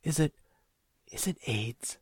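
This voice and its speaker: Man as a gentle worried mother, raised pitch. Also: moderate pace, husky, no echo. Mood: worried, tender. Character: worried, tender mother of a grown son. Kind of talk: audiobook character voice.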